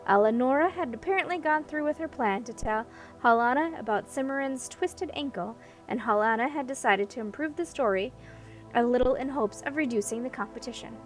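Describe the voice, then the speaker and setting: A woman's voice: sits high, a little fast, faint music behind, poor audio. A woman reading a scripted story as an audiobook narrator.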